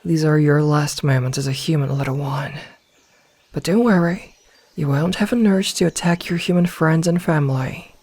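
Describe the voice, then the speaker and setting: A woman playing a gentle, motherly werewolf in a soft ASMR roleplay, soothing and reassuring as she guides a newly turned packmate. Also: deep voice, moderate pace, no echo.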